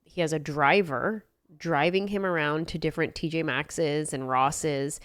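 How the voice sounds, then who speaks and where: A woman with a stuffy, congested voice hosts a podcast from a studio, talking casually and spinning stories with wry, self-mocking asides. Her voice sits on the low side and stays smooth and clear. The studio mic sounds close and dry, with little room sound. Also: fairly even intonation, measured pace, dry recording. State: congested with a cold. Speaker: podcast host. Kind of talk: casual chat.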